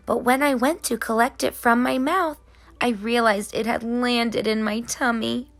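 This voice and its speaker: A woman's voice: a little high, gravelly, fairly bright tone. A woman reading as a mischievous, anxious young girl in a children's storybook, in sing-song rhyme. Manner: sing-song rhyming delivery. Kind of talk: children's storybook narration in character.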